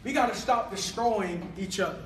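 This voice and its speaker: A man preaching a sermon in a church hall, calling for responses and rising to shouts, recorded by a far-off camera. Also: sits high, moderate pace. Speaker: pastor.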